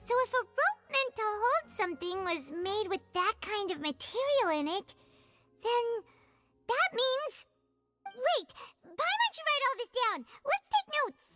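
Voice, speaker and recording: A woman voicing a small, excitable fairy companion girl in a video game, with a squeaky childlike voice. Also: unhurried, exaggerated voice. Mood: excited, curious. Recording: music behind the voice.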